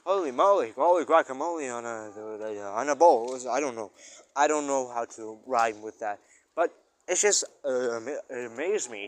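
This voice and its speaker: A man talking casually in a rambling personal audio log as a musician, often searching for words and stressing exclamations. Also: medium pitch. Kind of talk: casual rambling spoken-thoughts monologue.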